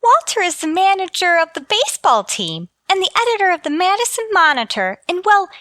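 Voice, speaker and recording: A woman's voice: very high-pitched, big pitch swings, fairly quick, fairly bright tone, no echo, studio quality, full character voice. A woman playing an earnest, polite teenage girl, a principal's daughter, in a radio comedy. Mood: protesting, earnest. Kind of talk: old-time radio comedy acting.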